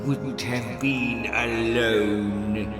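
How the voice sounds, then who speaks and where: Male actor as vengeful spirit villain, very slow, theatrical menace, echoey, music underneath, audio drama. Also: mid-range voice, fairly even intonation. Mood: smug menace. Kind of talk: fan audio drama acting.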